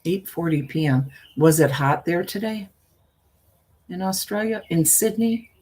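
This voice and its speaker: An older woman with a husky voice and a folksy, meandering manner, talking as a storyteller hosting her own live stream. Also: lowish voice, lively intonation, a little slow, darkish tone. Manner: folksy, meandering, often trailing off and repeating. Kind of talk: casual rambling chat with viewers; reading a spooky story aloud.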